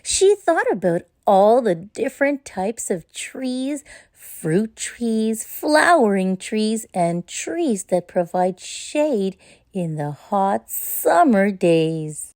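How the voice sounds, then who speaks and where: A woman narrating a children's story in a gentle, expressive read-aloud manner. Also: medium pitch, slow, no room sound.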